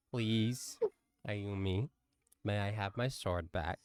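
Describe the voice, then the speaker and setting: Male podcast co-host, very deep and quiet, halting delivery, dry close sound. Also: clear, resonant tone, no echo. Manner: soft-spoken, halting, with frequent restarts. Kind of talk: casual chat.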